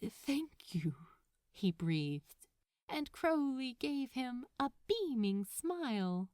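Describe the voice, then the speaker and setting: A woman narrates fiction in a scripted, expressive style. Her pace is slow and her intonation lively, and the recording is close and dry with no room sound. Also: sits high, clean tone, no room sound.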